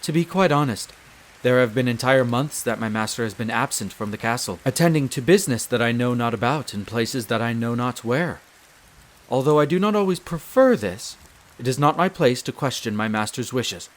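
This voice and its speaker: Casting: male actor for a devoted wolf butler, head servant of a castle. Formal, polite, measured delivery, mid-range pitch, fantasy audio drama. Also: lively intonation, lightly acted. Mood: dutiful, composed. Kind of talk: scripted roleplay audio drama.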